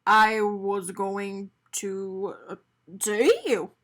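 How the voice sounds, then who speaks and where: A woman's voice: mid-range voice, extremely slow, fairly bright tone. Woman doing a flustered, sheepish storybook man. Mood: stunned. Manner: hesitant, stammering. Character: flustered, sheepish man caught out by his doughnut.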